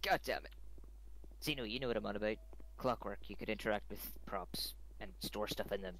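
Brief: male gamer, deep gravelly voice, blunt grumbling banter, faint background hiss. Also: a little fast, fairly bright tone, poor audio. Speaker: player in voice chat. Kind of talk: casual gaming chat.

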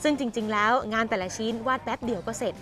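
A woman's voice: a little high, quick pace, fairly bright tone, faint music behind. A woman entertainment news presenter narrating a scripted feature in a chatty, polite manner. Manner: chatty, frequent polite particles.

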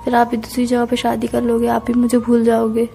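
Young woman on an emotional phone call, plaintive, soft mellow tone, pretty flat delivery, music behind. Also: fairly high pitch, a little fast, creaky. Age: young adult. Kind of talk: emotional private phone conversation.

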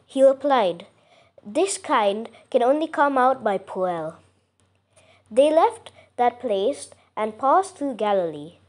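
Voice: mid-range voice, darkish tone. A child reading scripture aloud from a script, carefully, with small stumbles and self-corrections. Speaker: reader.

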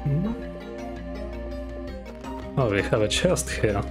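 Slow-talking man with a Russian accent. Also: medium pitch, sing-song. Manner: thinking aloud with many pauses and hums. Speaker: streamer. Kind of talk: casual gameplay commentary. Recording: game music playing under the voice.

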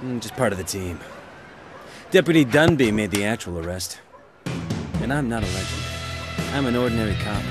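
An actor playing an earnest, self-serious police officer with a clipped, dramatic delivery in a video game. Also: male voice, fairly low pitch, animated, moderate pace, long pauses, close to an everyday voice. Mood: modest, friendly. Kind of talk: video game cutscene acting. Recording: music behind the voice.

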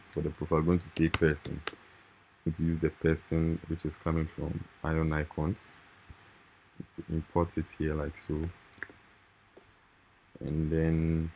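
A man with a very low, husky voice walks through code in a screen-recorded tutorial. He speaks in an even, level tone, checking in with "right?" and "okay". Keyboard and mouse clicks sound under his voice. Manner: informal, repeats points with "right?" and "okay". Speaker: coding tutorial host.

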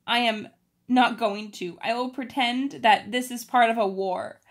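Woman voicing a brave girl, raised pitch. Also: fairly even intonation, measured pace. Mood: resolute. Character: brave, imaginative young girl living as a servant.

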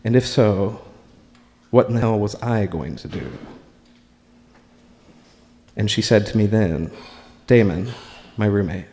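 An American man performs spoken word in a slightly low voice. He takes it very slowly, phrase by measured phrase. The live recording carries a long reverb from the room. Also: warm tone. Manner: deliberate, measured, pausing between short phrases. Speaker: spoken word performer reading own writing. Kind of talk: live open mic poetry and story reading.

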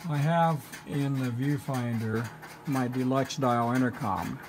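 A man giving an informal technical show-and-tell in a matter-of-fact, halting, explanatory way. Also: mid-range voice, background noise. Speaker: presenter, telephone equipment collector.

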